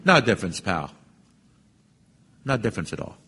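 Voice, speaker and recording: A man's voice: fairly low pitch, very animated, moderate pace, bright, forceful tone, slight background noise, exaggerated voice. An actor playing a brash, impatient, wisecracking salesman juror in a radio drama, slangy and sarcastic. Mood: smug needling.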